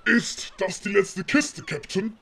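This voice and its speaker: A woman voicing a hulking, gruff male pirate first mate, terse, in a children's audio play. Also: medium pitch, animated, takes it slowly, fairly bright tone, voice pushed down, full character voice. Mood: gruff, menacing.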